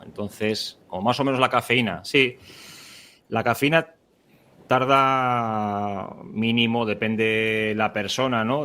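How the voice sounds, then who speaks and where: A man's voice: lowish voice, fairly bright tone. A man hosting a podcast interview, talking casually with lots of restarts and fillers. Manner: rambling, many restarts and fillers, laughs often. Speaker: podcast host.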